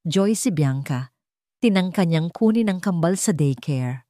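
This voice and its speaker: A calm, protective father with a Filipino accent, played by a woman narrator in her lowish voice. He is resolute and matter-of-fact, as told in an audio story. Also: a little slow, no echo, clean studio sound. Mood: serious, reassuring.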